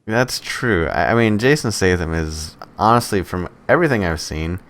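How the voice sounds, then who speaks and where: A man's voice: deep voice, animated, normal speed, a bit bright. A man co-hosting a podcast, chatting casually about films and telling stories conversationally with some swearing. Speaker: podcast co-host. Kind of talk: casual movie banter.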